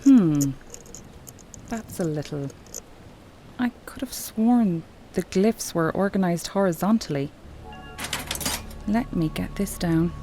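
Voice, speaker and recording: A woman playing a dedicated archeology professor in an audio horror drama, speaking in an articulate, measured, scholarly manner. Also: lowish voice, background noise. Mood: absorbed, studious. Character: dedicated female archeology professor, affectionately commanding, increasingly entranced. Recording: music bed behind the voice.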